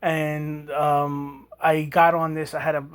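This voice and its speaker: A man's voice: a little high, little pitch movement, slow pace, clear, resonant tone. A man, casually showing an old item and rambling with many restarts. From New York. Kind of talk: casual show-and-tell.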